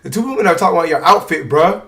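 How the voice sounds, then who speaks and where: Gravelly, bright-voiced male streamer. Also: on the high side, rapid-fire, far from the mic. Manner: loud, incredulous, slangy banter with chat. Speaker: streamer reacting to a dating show. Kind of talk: casual live reaction commentary.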